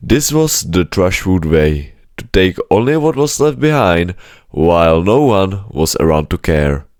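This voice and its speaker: A man's voice: deep voice, very animated, a little slow, darkish tone, dry recording, studio quality. A man narrating a scripted children's story as an audiobook-style reader.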